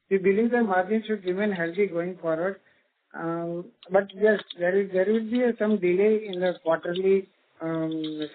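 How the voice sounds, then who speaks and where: A man with an Indian accent gives formal, halting answers as a finance executive on an earnings call. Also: high voice. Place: conference call. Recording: tinny phone-like conference line.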